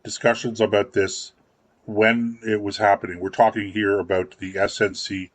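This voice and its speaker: A man's voice: fairly low pitch, little pitch movement, moderate pace, no room sound. A man co-hosting a political talk radio show, chatting casually and often repeating words as he works out his thoughts. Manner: rambling, repeats words while thinking.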